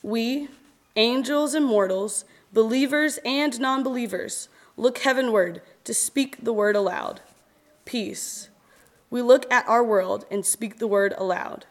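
Female reader, fairly high voice, very slow and measured, reciting scripture and verse solemnly with expressive pauses. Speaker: reader at a church service. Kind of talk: solemn reading of scripture and poetry.

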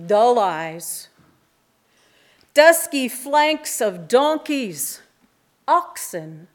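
A woman giving a reflective poetry reading as a church reader, with deliberate, dramatic phrasing and pauses. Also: on the high side.

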